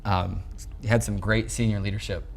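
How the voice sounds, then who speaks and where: Even-toned young American man, low voice. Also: moderate pace, creaky, a little hiss or hum. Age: 20s. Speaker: sports show host interviewing a coach. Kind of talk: sports interview. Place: small indoor locker-room set. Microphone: lapel mic.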